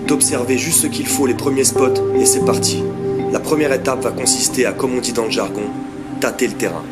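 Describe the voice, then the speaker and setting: A man in his thirties reads a scripted voice-over with a dry, self-mocking wit. Music plays clearly underneath his steady, mid-pitched voice. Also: a little fast. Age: 30s. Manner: wry, self-mocking humour. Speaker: fishing vlogger. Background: background music.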